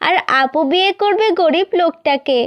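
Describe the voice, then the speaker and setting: A woman's voice: very high-pitched, normal speed, no room sound, lo-fi sound. A woman voicing a greedy, vain younger sister in a cartoon, bossy and brazen. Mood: brazen, selfish. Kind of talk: Bengali cartoon fairy-tale acting.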